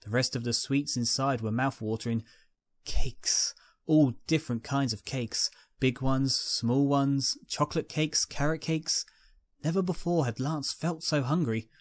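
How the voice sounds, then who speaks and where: A man's voice: medium pitch, measured pace, dry recording. A man narrating a scripted fan fiction story.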